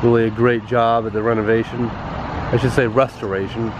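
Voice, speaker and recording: A man with a stuffed-up, congested voice, casually vlogging outdoors at a rural schoolhouse and talking in halting bits full of pauses and fillers. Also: mid-range voice, little pitch movement, lo-fi sound, background noise. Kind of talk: casual walk-around vlog narration.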